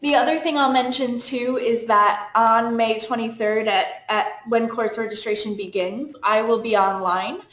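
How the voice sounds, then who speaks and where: Brisk American woman giving webinar tips. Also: a little high, steady tone, a bit bright, roomy sound, poor audio. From United States. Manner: chatty, practical, quick advice-giving. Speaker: program coordinator. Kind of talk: webinar presentation.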